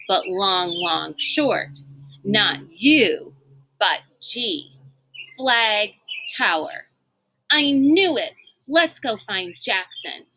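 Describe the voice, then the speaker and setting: Woman reading a bright, decisive female student official. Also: medium pitch, slight background noise, full character voice, poor audio.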